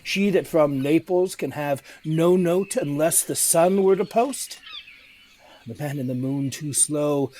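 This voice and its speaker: A man's voice: fairly high pitch, darkish tone, lightly acted. A man playing a scheming, ambitious usurping nobleman in a Shakespearean audio drama, with an insinuating, rhetorical delivery. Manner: insinuating, rhetorical, deliberate emphasis. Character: scheming, ambitious usurping nobleman tempting his companion to murder. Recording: remote recording with music underneath.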